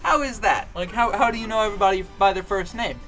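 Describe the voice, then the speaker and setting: A man's voice: high-pitched, quick pace, a bit bright, faint music behind, voice pushed up, rough recording. A man voicing a skeptical boss questioning an employee in a joke. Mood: puzzled. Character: skeptical middle-aged male boss.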